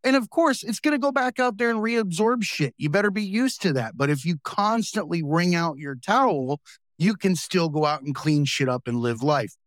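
A man hosting a podcast, talking casually and drawing out homey analogies, slowing down on the points he wants to stress. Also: high-pitched, expressive, dry recording, studio quality. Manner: folksy, uses homey analogies, slows for emphasis. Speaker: podcast host. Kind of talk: casual interview talk.

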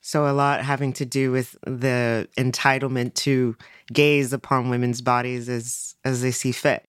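A woman's voice: extremely low pitch, level tone, measured pace, clear, resonant tone, dry recording, studio quality. A woman guest-hosting an interview, asking conversational questions and setting up context for her guest. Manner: conversational, affirming, leads with context. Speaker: guest host.

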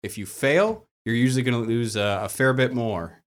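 A man's voice: medium pitch, moderate pace. A man acting as game master, casually explaining tabletop game rules in a joking, chatty way.

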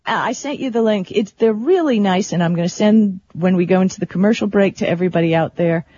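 A woman's voice: medium pitch, fast, mellow, no room sound. A woman co-hosting a tech radio show in casual, chatty, enthusiastic and informal conversation. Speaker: radio co-host. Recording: slightly dull, dry lo-fi radio stream.

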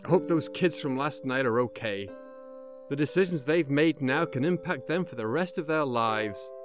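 Man acting a stubborn, lonely old man, loud music. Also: mid-range voice, expressive, normal speed, lightly acted. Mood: mild, thoughtful. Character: lonely, stubborn, worried old man haunted by his past. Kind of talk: 1930s and 40s style radio drama acting. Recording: music playing over the voice.

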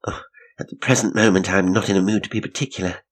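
A man reading aloud, voicing a bold, practical young woman with crisp, well-bred phrasing. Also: deep voice, steady tone, fast talker. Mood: brisk.

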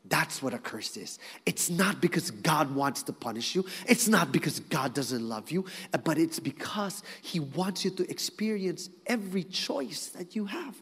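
A male preacher with a high voice delivers an animated sermon, his clear throughout. He moves from forceful, loud emphasis down to near-whispers. The voice rings out with a long reverb in a large hall. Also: normal speed, few pauses.

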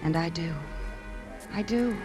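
A woman's voice: lowish voice, unhurried, natural voice. A woman playing a poised, wary, flirtatious lady in a 1950s radio drama, with a cool, teasing manner. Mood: tender, light. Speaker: actress in a radio play. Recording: vintage 1950s radio with music.

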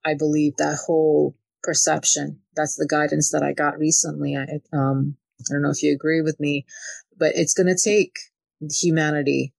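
A woman's voice: deep voice, little pitch movement, normal speed, gravelly, darkish tone, dry recording. A woman from Ukraine talking as a spiritual channeler and interview guest, often dropping in "you know" as she goes. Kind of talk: spiritual conversation.

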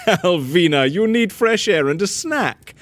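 An actor playing a flamboyant, amused fixer with a theatrical, teasing manner in a rhyming audio comedy. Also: male voice, high-pitched, animated, slow pace, a bit bright, no room sound, heavily acted. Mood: amused concern. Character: flamboyant, amused fixer who fakes deaths. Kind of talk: rhyming comic audio drama.

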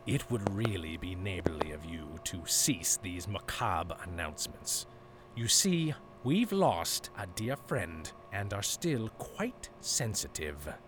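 A man's voice: low voice, big pitch swings, takes it slowly, fairly bright tone, slight background noise, heavily acted. A man playing a mock-polite, condescending captor in an audio drama, with genteel, wordy phrasing. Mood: mock-polite disdain. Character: suave, mock-polite male captor, drily disdainful.

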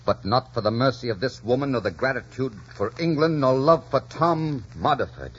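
An actor playing a proud, ruthless pirate captain in a 1940s radio drama, theatrical, commanding and taunting. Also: male voice, medium pitch, animated, moderate pace, slightly rough. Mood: bitter, proud. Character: proud, ruthless male pirate captain, brash and mocking former lover. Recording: muffled, noisy 1940s radio recording.

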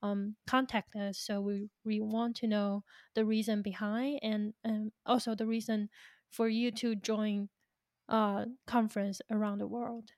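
A woman's voice: mid-range voice, flat delivery, a little slow, dry recording. Close, dry-sounding woman podcast host. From Taiwan. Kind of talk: casual interview.

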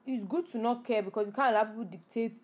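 A woman's voice: a little high, quick pace, background noise. A young woman with a Nigerian accent, co-hosting a podcast in casual chat, blunt and opinionated, dropping Nigerian slang. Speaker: podcast co-host.

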